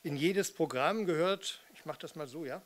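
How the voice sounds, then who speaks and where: A man's voice: a little high, normal speed, heavy background noise. An older man, a stage performer, bantering casually between songs into a microphone on a stand. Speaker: performer, singer and spoken-word artist. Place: small theatre with red seats and curtains. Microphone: studio mic on stand with pop filter.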